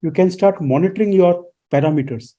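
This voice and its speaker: High-voiced, mellow man explaining. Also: brisk, highs cut off. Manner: lecturing, poses rhetorical questions. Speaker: guest expert. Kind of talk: explanatory radio interview answers.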